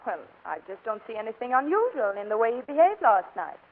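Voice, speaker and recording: A woman's voice: fairly high pitch, very animated, fairly quick. A woman playing a firm, indignant wife in 1940s radio comedy, insistent and matter-of-fact. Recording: muffled old radio broadcast.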